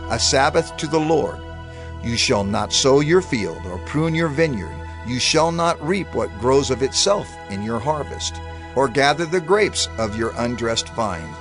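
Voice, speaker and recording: Man declaiming scripture, lively pitch. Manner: measured and declamatory. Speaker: scripture reader. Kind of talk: dramatized scripture reading. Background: music.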